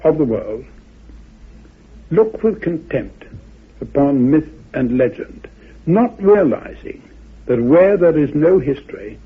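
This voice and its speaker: A man giving reflective spoken commentary in a measured, deliberate way, phrase by phrase. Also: fairly high pitch, very animated, slightly rough. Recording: phone-like, muffled old radio recording.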